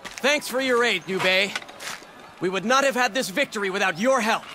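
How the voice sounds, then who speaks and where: An actor playing a cocky, hot-headed young warlord of ancient China in a dramatic video game dub. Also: male voice, high voice, moderate pace, noisy background, close to an everyday voice. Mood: triumphant. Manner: informal, cocky, eager.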